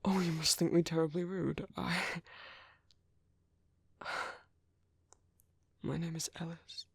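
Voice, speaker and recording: Breathy, gentle British vampire priest, acted by a man. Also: on the high side, fairly even intonation, slow, no room sound, exaggerated voice. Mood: gentle, apologetic. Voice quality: breathy, gravelly, half-whispered. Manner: hesitant, polite, reassuring. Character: gentle, caring male vampire priest sheltering a stranger. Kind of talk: soft-spoken ASMR roleplay.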